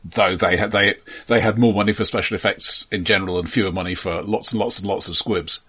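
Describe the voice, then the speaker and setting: Man, slightly low pitch, husky, halting, casual explanatory film talk. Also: fairly even intonation, bright, forceful tone. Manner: halting, repeats words while explaining. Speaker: film podcast host.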